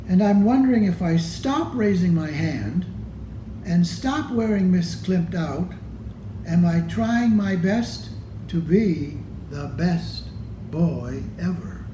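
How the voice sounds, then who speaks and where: Slow-reading man, mellow, in an echoey room. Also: sits high, a little hiss or hum. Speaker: storybook reader. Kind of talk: expressive children's picture book read-aloud.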